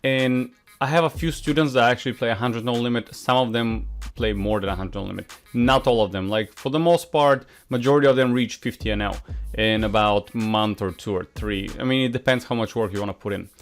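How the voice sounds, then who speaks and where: A man's voice: mid-range voice, fairly quick, faint music behind. A Bulgarian man, a poker coach, answering viewer questions in a frank, casual way with many filler sounds; his first language is Bulgarian. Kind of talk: casual Q&A monologue.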